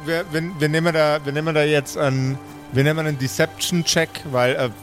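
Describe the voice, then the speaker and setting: Higher-pitched game master. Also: male voice, little pitch movement, brisk, soft background music. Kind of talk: casual improvised game narration.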